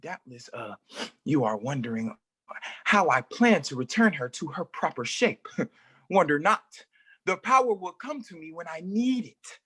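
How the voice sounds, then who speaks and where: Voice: mid-range voice, very animated, a little slow, fairly bright tone, breathy edge, full character voice. A performer reading a male wandering magician in a play table read, stammering and theatrical. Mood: defiant. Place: live reading at an art exhibition.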